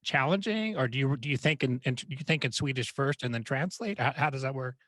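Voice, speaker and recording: An American man hosting a music interview podcast, talking fast and loosely with frequent restarts. His voice sits dry and close to the mic. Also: mid-range voice, expressive, fairly bright tone, no echo. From United States. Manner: chatty, quick, lots of restarts and affirmations. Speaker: podcast host. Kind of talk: casual interview chat.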